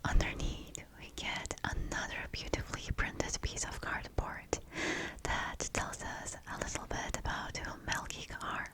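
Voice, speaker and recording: Woman, full whisper, breathy, ASMR unboxing narration, deliberate phrasing. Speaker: ASMR video creator presenting a product unboxing.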